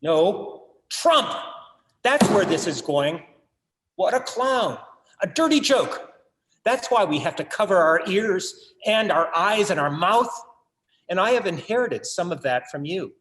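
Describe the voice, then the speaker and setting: An actor playing an anxious, self-absorbed collector with earnest, literary phrasing in a staged play reading. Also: male voice, high-pitched, big pitch swings, unhurried, fairly bright tone, roomy sound, exaggerated voice. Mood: agitated. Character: anxious, self-absorbed, wordy male collector brooding on his legacy. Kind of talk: theatrical staged reading, monologue and verse.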